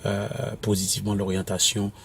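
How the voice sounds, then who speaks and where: A minister from Quebec, whose first language is English, answers interview questions in a halting way, with many restarts and fillers. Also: male voice, on the low side, monotone, gravelly, a bit bright, a little hiss or hum. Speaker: apostolic minister and author.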